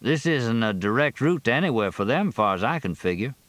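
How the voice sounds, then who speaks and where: A man's voice: mid-range voice, expressive, fairly quick, a bit bright, no echo, pitched above the performer's own voice, natural voice. A man voicing a small-town sheriff, wry and plain-spoken, in a novel reading. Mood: grave, puzzled. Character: dry-humored, folksy small-town Southern sheriff, long married.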